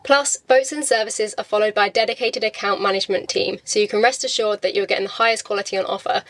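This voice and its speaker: A woman's voice: medium pitch, fairly even intonation, fast talker, fairly bright tone, no room sound. A woman giving upbeat scripted voice-over narration for a sailing video. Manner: upbeat storytelling. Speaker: channel narrator.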